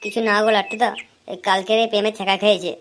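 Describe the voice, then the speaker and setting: A woman voicing a touchy young village lad, defensive and quick to threaten, in a comic cartoon. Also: mid-range voice, level tone, a little hiss or hum, natural voice. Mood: casual.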